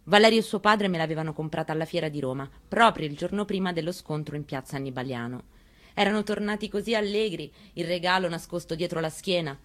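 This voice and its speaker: Low-voiced woman telling a memoir. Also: fast talker, a bit bright. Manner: intimate first-person storytelling. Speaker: reader. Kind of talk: dramatic memoir reading.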